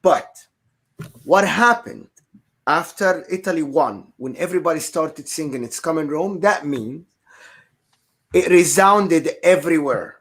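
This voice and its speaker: A man whose first language is Arabic, joining a casual football podcast, insistent and halting, repeating his points. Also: fairly high pitch, expressive, fairly bright tone. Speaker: podcast guest. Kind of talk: casual sports chat.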